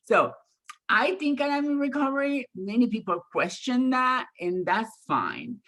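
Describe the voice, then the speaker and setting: A middle-aged woman from Argentina with an Argentine Spanish accent, giving a candid, profane talk to an online audience over a video call, with many fillers and pauses. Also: fairly high pitch, sing-song, a bit bright. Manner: mocks others in raised voices when quoting them. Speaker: guest speaker.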